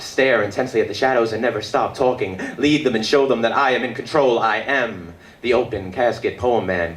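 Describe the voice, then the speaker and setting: A man performing his own spoken word poetry at a live reading, in a rhythmic, dramatic delivery with deliberate pauses. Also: fairly low pitch. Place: indoor book release reading before an audience. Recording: echoey live room with background noise.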